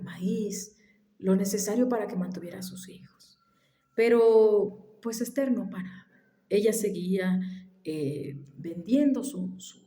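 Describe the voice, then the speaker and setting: A woman telling a family story in a casual, unhurried way, halting now and then and dropping into soft whispered asides. She mentions she has allergies. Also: mid-range voice. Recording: echoey room.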